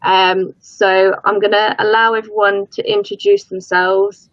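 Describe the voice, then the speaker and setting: A woman's voice: medium pitch, flat delivery, a little slow, no echo. Woman, panel host, chatty, self-deprecating, dry dull lo-fi video call sound. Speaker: livestream host. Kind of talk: casual panel hosting. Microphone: computer mic on a video call.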